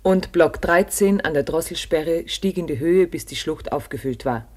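A man's voice: on the high side, moderate pace. A man narrating a scripted radio documentary drama in a solemn, literary manner.

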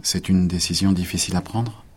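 An actor playing a calm family doctor who gently questions a patient in a radio drama. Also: male voice, low voice, a little fast, breathy edge.

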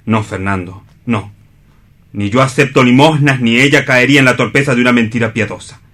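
A man playing an anguished, self-pitying man in a radio play, declaiming solemnly and deliberately, bitter yet noble. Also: mid-range voice, sharp tone. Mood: bitter challenge. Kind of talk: theatrical radio play reading.

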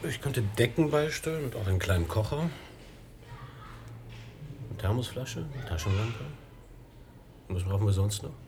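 An actor in a German radio play playing a subdued, laconic, practical man in a terse, low-key way. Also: animated, moderate pace, pauses often, noisy background, close to an everyday voice.

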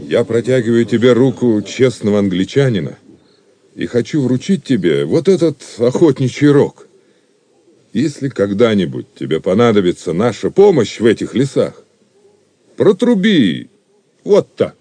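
An actor playing a wry, commanding outlaw leader who speaks with mocking courtesy in an audio drama. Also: male voice, mid-range voice, sing-song, normal speed, warm tone, dry recording. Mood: solemn, friendly. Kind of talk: Russian audio drama acting.

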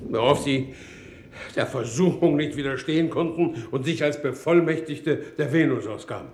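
An actor playing a suspicious, easily exasperated war minister in a 1960s radio drama, questioning in an imperious manner. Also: male voice, medium pitch, moderate pace, natural voice. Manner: interrogating, imperious. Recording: echoey, slightly noisy old radio drama.

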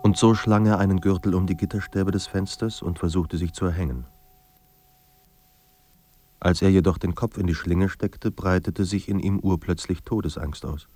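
A man performing as the grave, measured narrator of a despairing writer's life in a literary radio drama. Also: sits low, fairly even intonation, warm tone, no room sound. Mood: somber, restrained.

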